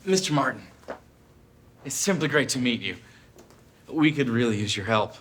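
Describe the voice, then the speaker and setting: Brief: man as an earnest, theatrical private eye, mid-pitched, even voice. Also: normal speed, slight background noise, lightly acted. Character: earnest male private detective.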